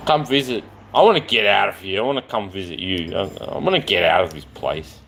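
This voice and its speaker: Animated, chatty man. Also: fairly low pitch, fairly quick, creaky, a little hiss or hum. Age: 40s. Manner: affectionate, rambling, chatty. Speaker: live streamer. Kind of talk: rambling live-stream chat.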